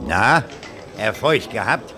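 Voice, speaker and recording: Ein Schauspieler spielt im Hörspiel einen gelassenen, umgangssprachlich tröstenden Mann mit Kneipenweisheiten. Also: male voice, on the low side, animated, slow pace, over music. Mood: wry consoling. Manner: colloquial, consoling, philosophizing. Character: folksy, placid man dispensing pub wisdom. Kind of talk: naturalistic German radio drama dialogue.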